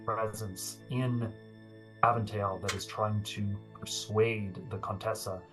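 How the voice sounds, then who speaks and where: A man running a tabletop game as game master, chatty, laughing often and filling pauses with ums. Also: on the low side, steady tone, over music, low-quality recording.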